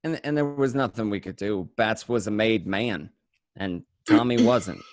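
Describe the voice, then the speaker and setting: Man playing an excitable mobster, dry close sound. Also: fairly low pitch, unhurried, dry recording. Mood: resigned, bitter. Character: excitable male mobster recounting his crime life. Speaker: amateur actor in an online radio play. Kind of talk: first-person dramatic monologue, conversational.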